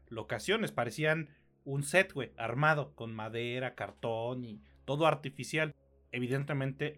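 A man's voice: medium pitch, a bit bright. A man in his late thirties with a Mexican accent, co-hosting a casual film and TV review podcast in an opinionated, slangy, sweary manner. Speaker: podcast co-host.

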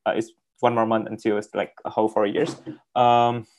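A young man who speaks English as a second language, telling his story as a guest on a video call, halting and repeating words with many fillers. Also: on the low side, level tone, a little mellow, slight background noise. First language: not English. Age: young adult. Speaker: interview guest. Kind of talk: casual interview on a video call.

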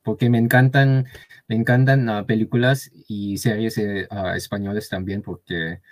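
A man from Taiwan with a Taiwanese accent, a guest speaking Spanish as a learner, halting with many fillers and restarts. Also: fairly low pitch, level tone, darkish tone, dry recording. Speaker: interview guest. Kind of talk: casual conversation.

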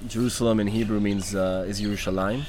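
A lowish male voice, thoughtful and unhurried as he gropes for words, talking outdoors with clear street noise around him. Originally from Venezuela, he hosts a relaxed chat. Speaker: host. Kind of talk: casual conversation. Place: outdoors in a city. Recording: noisy outdoor street recording.

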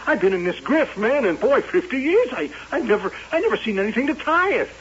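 An actor playing an old carnival grifter who talks in a folksy, colloquial, excitable way in a radio drama. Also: male voice, very high-pitched, fairly quick, a little hiss or hum, heavily acted. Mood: excited. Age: older. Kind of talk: 1970s radio drama acting. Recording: dull old radio broadcast.

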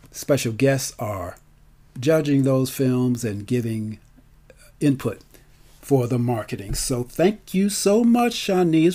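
A man speaks at a slow, deliberate pace in a relaxed, mellow voice of medium pitch. He sounds like someone promoting his work live and off the cuff, drawing out and repeating key words for emphasis. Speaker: storyteller and film festival organizer. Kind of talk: promotional talk, live and unscripted.

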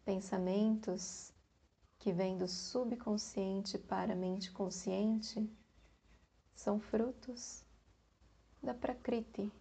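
A Brazilian woman guiding a meditation in a soft, breathy, calm voice, leaving long pauses between short phrases. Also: medium pitch. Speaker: meditation guide. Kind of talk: guided meditation.

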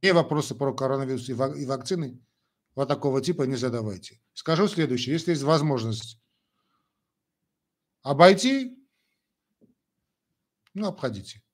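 A man's voice: medium pitch, expressive, normal speed, long pauses. An older man from Armenia, a doctor answering viewers' questions on a live stream in a blunt, chatty way, with many fillers. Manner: blunt, talks in short emphatic phrases with many fillers.

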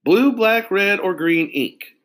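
A man's voice: animated, fairly bright tone, full character voice. A man doing a pompous, fussy pen salesman. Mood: pompous, precise. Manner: pompous, slow and precise, salesman's pitch.

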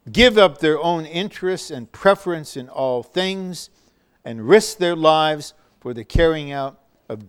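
Guy preaching real slow and deliberate, slightly high voice, emphatic, shouts now and then. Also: smooth. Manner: measured and emphatic, with bursts of shouting. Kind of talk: sermon.

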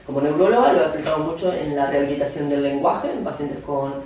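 Argentine-accented woman guest, explanatory, halting; echoey distant recording. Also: sits low, dark tone. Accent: Argentine Spanish. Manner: explanatory, many restarts and fillers. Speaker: neurologist guest. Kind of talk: interview answers. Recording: echoey room, distant mic.

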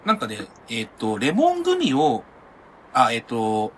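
A man's voice: mid-range voice, wildly swooping pitch, moderate pace, a little hiss or hum. A man co-hosting a podcast, chatting casually with friends in a chatty, explanatory manner. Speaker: podcast co-host. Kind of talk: casual chat among friends.

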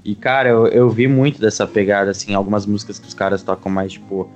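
A man's voice: fairly low pitch, flat delivery, quick pace, darkish tone, lo-fi sound. A man chats casually and in slang as a panelist on a music podcast. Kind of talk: casual chat.

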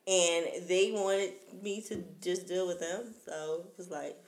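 A woman's voice: on the low side, measured pace. A woman, around her thirties, chatting casually as a podcast co-host with slangy, playful comebacks. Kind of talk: casual chat. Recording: roomy, echoing sound.

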